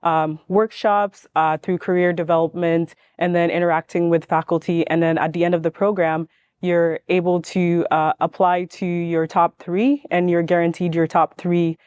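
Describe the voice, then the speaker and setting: A young woman speaks on a panel in a smooth, clear voice. Her pitch stays fairly level as she tells her own story informally. The sound is dry and close, with little room around it. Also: little pitch movement, normal speed, a little mellow, no room sound. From born in Ghana, grew up in Washington state, US. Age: young adult. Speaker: panelist, manufacturing engineer. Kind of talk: informal personal introduction on a panel.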